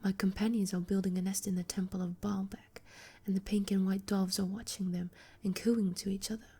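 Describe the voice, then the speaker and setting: A woman voicing a gentle, loyal male swallow in a soft whisper. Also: medium pitch, little pitch movement, brisk, breathy edge. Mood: wistful.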